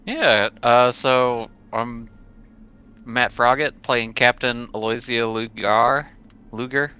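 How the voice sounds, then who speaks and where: A man's voice: mid-range voice, steady tone, slow, sharp tone. A man chatting casually as a player on a remote tabletop game podcast. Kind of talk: casual chat. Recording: dull lo-fi call, faint noise.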